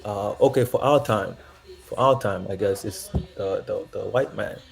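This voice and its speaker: A man's voice: fairly low pitch, normal speed, gravelly. A young man from Zambia, calling into a show and talking in a rambling, reflective way with frequent laughs. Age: young adult. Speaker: caller. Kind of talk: casual call-in chat.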